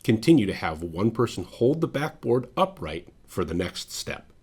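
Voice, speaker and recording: A man narrates a scripted how-to video in a lowish, raspy voice. His delivery is methodical and directive, taking instructions step by step, with lively movement in pitch. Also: moderate pace.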